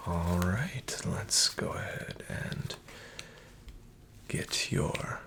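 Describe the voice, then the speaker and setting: A man playing a smooth-talking, falsely friendly casino dealer, soft-spoken and half-whispered. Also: deep voice, very slow, full character voice. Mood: sly coaxing. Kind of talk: soft-spoken ASMR roleplay. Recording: audible background noise with card shuffling.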